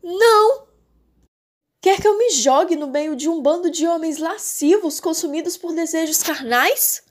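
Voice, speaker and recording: A rich, prim teenage girl, easily panicked and jealous as a woman acts her. She has a high-pitched voice and a Brazilian accent. The delivery is theatrical manga dubbing. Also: unhurried. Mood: panicked, indignant. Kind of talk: dramatic fan dub dialogue.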